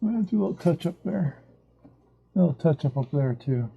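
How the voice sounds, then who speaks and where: A man's voice: fairly high pitch, animated, brisk, vocal fry, breathy edge, narrow, tinny audio. A man thinking aloud as he works through a boat repair, in casual DIY narration. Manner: thinking aloud while working. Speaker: boat owner doing a repair. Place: on a small boat.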